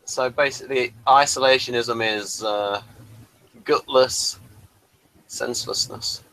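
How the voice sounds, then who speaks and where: A man's voice: medium pitch, steady tone, slow pace, fairly bright tone, dry recording, rough recording. A man giving casual, explanatory commentary on a TV episode, sharing background details as he goes. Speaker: host of a TV episode review commentary.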